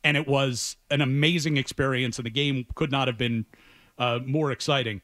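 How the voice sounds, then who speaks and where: A man's voice: mid-range voice, steady tone, normal speed, fairly bright tone, no echo. A man speaking casually and earnestly as a radio guest, telling personal stories in an appreciative way. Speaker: radio guest and sports play-by-play announcer. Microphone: close studio radio mic.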